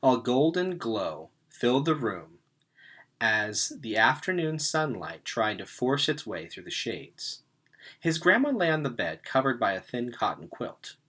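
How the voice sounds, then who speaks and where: A man reads a storybook aloud with lively, swooping pitch and a bright, forceful voice. He leans on key words for dramatic effect, in an expressive narrating style. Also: measured pace. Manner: animated, dramatic emphasis. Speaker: read-aloud reader.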